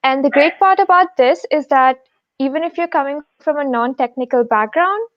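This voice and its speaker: High-voiced woman hosting an online session. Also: normal speed, no echo. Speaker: host of an online tech session. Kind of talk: live-stream introduction. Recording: dry, dull lo-fi call audio.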